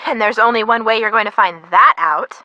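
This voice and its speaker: A woman's voice: on the high side, a little fast, no room sound, dull audio, natural voice. An actress playing a sensible young woman, a detective's practical best friend in a video game, speaking in a matter-of-fact, encouraging way. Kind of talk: scripted video game hint dialogue.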